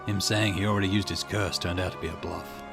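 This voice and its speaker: A man voicing grave, deliberate detective dialogue from an occult visual novel. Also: low-pitched, fairly even intonation. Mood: grim, regretful. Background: game music.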